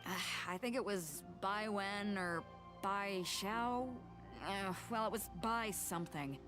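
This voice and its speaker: A woman's voice: mid-range voice, slow, over music, lightly acted. A woman playing a bold, commanding sea captain in a video game, with a breezy, wry manner.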